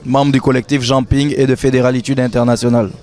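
A man's voice: mid-range voice, level tone, fast talker, darkish tone. A man in his thirties, an activist from Gabon, speaking firmly and insistently into a handheld mic on a city street at night. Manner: firm, insistent, repeats his points. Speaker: activist interviewee. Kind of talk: street interview statement. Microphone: handheld reporter mic.

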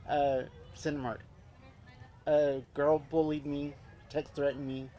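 A man's voice: fairly high pitch, little pitch movement, slow pace, noisy background. Man, late 30s, earnest personal testimony outdoors; loosely strung, heartfelt delivery. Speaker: speaker giving a testimony. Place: outdoor park event. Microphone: handheld mic on stand. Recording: faint outdoor ambience.

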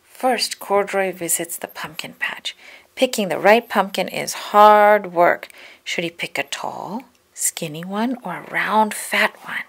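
A woman reading a picture book aloud for children, giving key words expressive emphasis. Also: mid-range voice, measured pace. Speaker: children's storybook reader.

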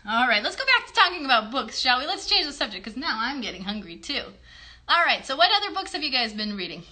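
A woman's voice: high voice, sing-song, quick pace, sharp tone. A woman in her thirties chatting to children as a livestream host, explaining simply and encouragingly with lots of questions. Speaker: host and puppeteer of a children's show. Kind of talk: child-directed chat on a livestream. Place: small bedroom-like room indoors. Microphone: phone a short distance away.

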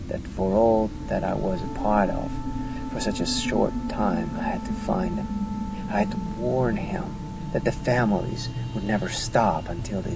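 Man as old grandfather, audiobook character, weary, soft-spoken, gravelly, reflective, music underneath, dulled sound. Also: fairly low pitch, distant mic. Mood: wistful, haunted. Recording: muffled recording with music behind.

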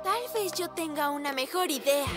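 A woman's voice: high-pitched, normal speed, over loud music, natural voice. A woman playing a sensible, self-assured six-year-old girl superhero in a Latin American cartoon dub, firm and reasoning. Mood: earnest, reasoning.

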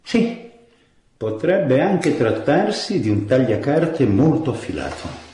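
Slow, mellow morgue doctor. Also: male voice, medium pitch, very animated. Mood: clinical, detached. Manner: measured, clinical. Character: unhurried, matter-of-fact morgue doctor. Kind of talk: radio drama acting. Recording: echoey room, faint noise.

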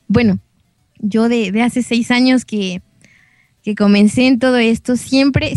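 High-pitched young Mexican woman, dry sound. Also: a little mellow, dry recording. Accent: central Mexican. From Puebla, Mexico. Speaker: guest, singer and voice actress. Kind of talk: casual chat on a gaming show.

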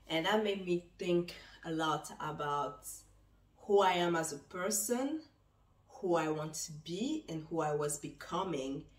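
A woman in her thirties from Benin, raised in France, speaking as she tells her story reflectively to the camera, with many pauses. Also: deep voice. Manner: reflective, gestures while talking, many pauses. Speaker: travel vlogger. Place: small bright room indoors, seated in armchair. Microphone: camera across the room. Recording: echoey room, voice from a distance.

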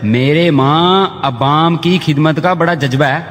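Man acting a plaintive cobbler politician, touchy, earnest, raised pitch, comedy sketch, reverberant hall. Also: slow. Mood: touchy, pleading. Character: touchy, self-pitying male cobbler politician pleading devotion. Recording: distant, reverberant, music behind.

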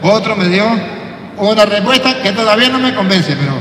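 A middle-aged man, a priest, preaching an animated sermon into a handheld mic. He acts out quotes and swings from soft to shouting. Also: high-pitched, a little fast, background noise. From Venezuela. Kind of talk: lively sermon. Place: large church, indoors. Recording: echoey church from a distance.

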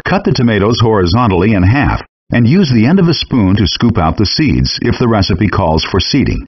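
A man reading scripted step-by-step cooking instructions as a video game's chef guide, in a calm, even instructional manner. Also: on the low side, slightly rough. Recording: dull lo-fi game audio rip.

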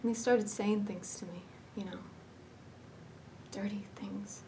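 Woman playing haunted young female character, fragile, hesitant dramatic monologue, medium pitch, slight background rumble. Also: fairly even intonation, warm tone, recorded from a distance, natural voice. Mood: uneasy, halting. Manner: halting, hesitant, with pauses and repetitions.

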